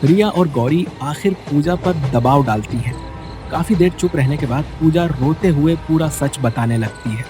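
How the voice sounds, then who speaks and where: Guy narrating a dramatic story, voice on the high side, loud music underneath. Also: a little slow. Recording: music bed behind the voice. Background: background music.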